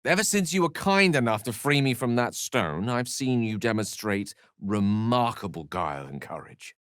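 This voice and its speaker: A man's voice: medium pitch, animated, moderate pace, a bit bright, clear, resonant tone, dry recording, clean studio sound, natural voice. An actor playing a wry, scholarly middle-aged wizard in a fantasy video game, articulate and theatrical in his phrasing. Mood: measured, wry. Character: eloquent, wry, scholarly male wizard adventurer.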